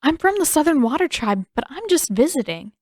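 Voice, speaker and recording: A woman's voice: high voice, sing-song, a little fast, darkish tone, no echo, clean studio sound, pitched above the performer's own voice. A woman voicing an earnest, apologetic teenage girl in an audiobook, with a reassuring but easily flustered manner. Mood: anxious, earnest. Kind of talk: audiobook character acting.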